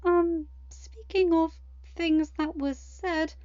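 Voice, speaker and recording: A woman's voice: very high-pitched, fairly even intonation, takes it slowly, dark tone, no echo, dull audio, pitched above the performer's own voice. A woman voicing a vulnerable teenage daughter with a scoffing streak, earnest and hesitant, in a storybook reading. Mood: shy, earnest.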